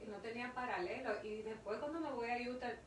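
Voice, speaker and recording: A woman in her thirties with a Dominican accent, talking through her story with earnest emphasis. She is heard from a distance in an echoey room, with a clear layer of background noise. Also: fairly high pitch, fairly quick. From Dominican Republic. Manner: earnest, emphatic, repeats herself for stress. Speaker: interview guest, industrial engineer. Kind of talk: casual interview conversation.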